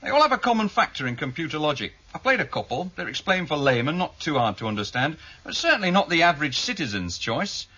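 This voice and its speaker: An actor playing a methodical, keen junior police investigator in a British radio drama, explaining evidence matter-of-factly to a superior. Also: male voice, a little high, sing-song, fast, few pauses, sharp tone, slightly rough, exaggerated voice. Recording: dull, lo-fi 1978 radio broadcast.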